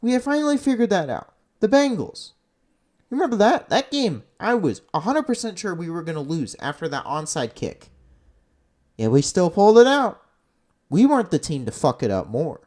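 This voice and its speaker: Exasperated fan commentator for a sports rant; mid-pitched, very animated delivery, blunt, sweary and emphatic. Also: normal speed. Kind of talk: unscripted opinionated sports rant.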